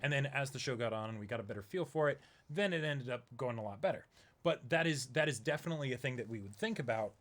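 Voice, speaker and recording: A man's voice: medium pitch, expressive, quick pace, fairly bright tone. A man co-hosting an online reaction channel, explaining things in casual, unscripted talk and often checking that he is followed.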